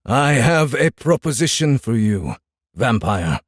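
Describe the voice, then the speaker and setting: A man playing a menacing, commanding inhuman samurai with a gravelly growl in a fantasy audiobook, speaking in deliberate, formal threats. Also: mid-range voice, very animated, no echo, clean studio sound. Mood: coldly insistent. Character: menacing inhuman samurai captor, centuries tormented, furious and commanding. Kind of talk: full-cast fantasy audiobook acting.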